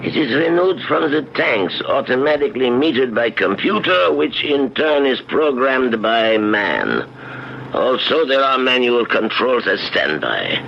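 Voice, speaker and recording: An actor playing a confident, precise doctor-scientist in a measured, lecturing radio drama style. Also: male voice, mid-range voice, sing-song, gravelly. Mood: precise, explanatory. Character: confident, precise male doctor-scientist explaining his machine. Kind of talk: old-time radio drama acting. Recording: dull, noisy 1970s radio recording.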